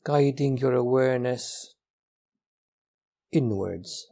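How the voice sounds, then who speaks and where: A man leading a guided meditation as a teacher, speaking gently in short, unhurried phrases with long pauses. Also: mid-range voice, creaky.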